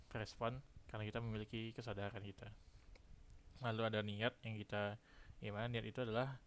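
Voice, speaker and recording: A man in his twenties from Indonesia, a student explaining his class in a halting way. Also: fairly low pitch, a little hiss or hum. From Pekanbaru, Indonesia. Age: 20s. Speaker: university engineering student. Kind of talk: self-introduction and lecture recap to camera.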